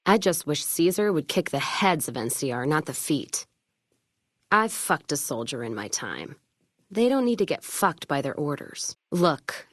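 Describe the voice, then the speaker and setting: A woman's voice: sits low, dry recording, studio quality. A woman playing a tough, foul-mouthed, vengeful caravan trader in a video game, talking in a blunt, folksy, wry way. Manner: blunt, folksy, wry drawl of plain talk. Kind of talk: video game dialogue acting.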